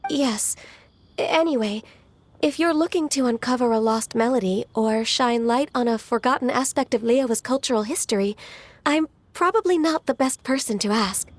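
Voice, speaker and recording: A woman playing a gentle, polite and earnest young half-human secretary in an anime video game. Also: a little high, moderate pace, dry recording, lightly acted. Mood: helpful.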